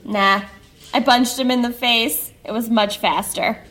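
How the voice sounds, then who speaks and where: Brash wisecracking policewoman, casual, higher-pitched, audio drama. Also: steady tone, measured pace, fairly bright tone. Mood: cheeky. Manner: casual, cheeky. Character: brash, wisecracking, tough female police officer.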